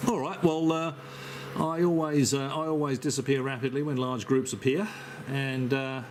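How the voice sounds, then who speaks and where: A man who works as an amateur radio operator, chatting casually on a net in halting, hesitant phrases. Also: medium pitch, level tone. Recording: faint hiss and radio noise.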